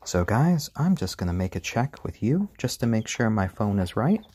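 A man hosting a casual live stream at home on his phone, speaking gently to viewers and to baby chicks. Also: fairly low pitch, sing-song, normal speed, slightly rough. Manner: gentle, soft-spoken, talks to chat and chicks. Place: home room. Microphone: handheld phone.

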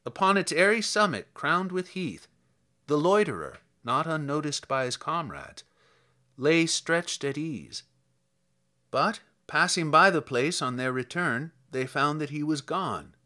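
A man voicing a kindly old village priest who recalls the dead, reading dramatic poetry in a measured, solemn, sorrowful way. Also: fairly high pitch, animated, sharp tone.

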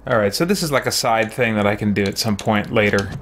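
A man's voice: lowish voice, quick pace, fairly bright tone, slight background noise. A middle-aged man giving casual gameplay commentary as host, thinking aloud as he plays. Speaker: let's play host. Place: small indoor room with shelves.

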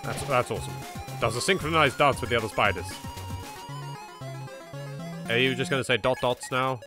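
A man's voice: medium pitch, moderate pace. A man with an English accent hosting a let's play, wry and playful. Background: game music.

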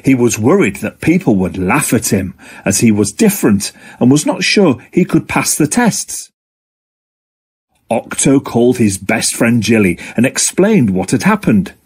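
Male children's storybook reader: expressive, deliberate, very varied pitch, a touch of husk. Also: husky. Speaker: storybook narrator. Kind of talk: scripted children's story narration.

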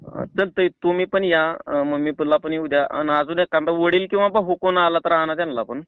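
A man's voice: a little high, steady tone, fairly quick. A man with a rural Maharashtrian Marathi accent chatting casually on the phone, persuasive and reassuring. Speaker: prank caller. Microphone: phone line. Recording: tinny phone call recording.

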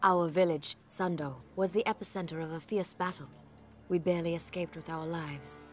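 Solemn female villager seeking refuge in a fantasy game, played by a woman; lowish, measured and formal. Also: steady tone, soft background music, lightly acted. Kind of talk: dramatic video game cutscene acting.

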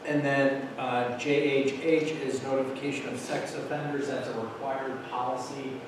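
A middle-aged man talks a school board through policy changes in a casual, explanatory way with plenty of fillers. His voice has a flat, even pitch. It is picked up from far across a very echoey meeting room, with a steady hiss of noise behind it. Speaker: school administrator presenting to a board. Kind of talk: meeting presentation. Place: large meeting room with a projection screen. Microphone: room mic from far off, standing at a podium. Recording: very echoey room, distant mic, noisy.

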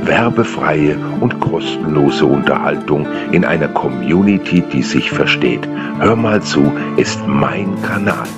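A man's voice: a bass voice, expressive, a little slow, few pauses. A man in his fifties delivering a scripted channel introduction as its host. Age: 50s. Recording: dull sound under loud guitar music. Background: loud plucked guitar music.

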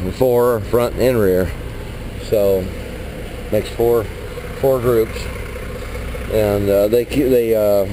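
A man, a truck driver, talking casually and rambling as he walks around his flatbed load outdoors, recorded on a body-worn camera. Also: on the low side, noisy background. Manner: rambling, plain-spoken, mild cursing. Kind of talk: casual walkaround vlog. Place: outdoors at a truck lot beside a flatbed trailer. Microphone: body-worn action camera.

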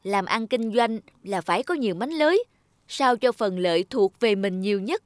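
A woman voicing a shrewd, insistent young village wife in an animated folk tale, with a Northern Vietnamese accent. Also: medium pitch, moderate pace, no room sound, natural voice. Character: shrewd, calculating young village wife advising her husband.

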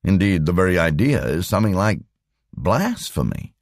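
A man voicing an excitable, worried male computer engineer in an audiobook, talking urgently and conversationally. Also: low-pitched, normal speed, no room sound, clean studio sound. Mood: explaining, excited.

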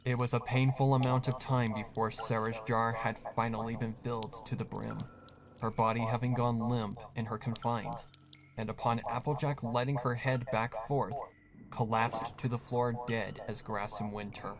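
Male narrator for scripted horror fiction, medium pitch, clear crowd noise in the background. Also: flat delivery, normal speed.

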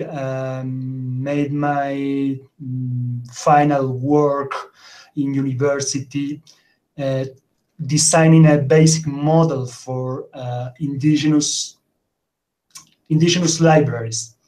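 A man's voice: mid-range voice, takes it slowly, low-quality recording. A man in his forties from Argentina, giving a conference talk over a video call, hesitant and full of fillers. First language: Spanish. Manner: hesitant, many fillers, animated. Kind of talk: remote conference presentation. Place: indoor home room. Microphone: webcam on a video call.

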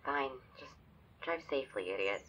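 An actor playing a worried, nagging young friend, rough-voiced and familiar, on a dull band-limited call. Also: mid-range voice, lively intonation, moderate pace, a bit bright. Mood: concerned. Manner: fretful, familiar. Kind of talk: audio drama acting.

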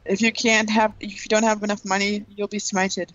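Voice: mid-range voice, level tone, brisk, a bit bright, no room sound, highs cut off. A gamer on voice chat who teases and explains the game's commands. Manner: teasing, explains game commands. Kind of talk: casual chat while playing.

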